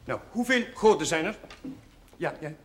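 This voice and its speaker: Actor as a lively young chaplain teaching. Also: male voice, on the high side, normal speed, natural voice. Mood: exasperated. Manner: schoolmasterly, questioning, calling for silence. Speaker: actor in a TV drama series. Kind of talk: scripted TV drama dialogue. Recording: noisy old TV soundtrack.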